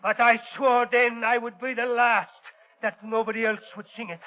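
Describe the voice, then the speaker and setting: A man's voice: very high-pitched, level tone, moderate pace. A man playing a bitter, agitated opera tenor turned killer in melodramatic 1930s radio style. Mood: bitter, desperate. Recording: dull old 1930s radio recording.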